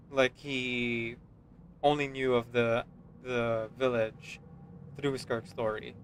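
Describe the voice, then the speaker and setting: A man streaming a game, thinking aloud in halting, casual commentary. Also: mid-range voice. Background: game music.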